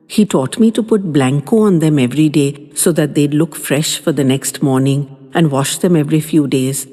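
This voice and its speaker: A woman reading a first-person story aloud in a gentle, reminiscing manner. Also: low-pitched. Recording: long reverb with faint background music.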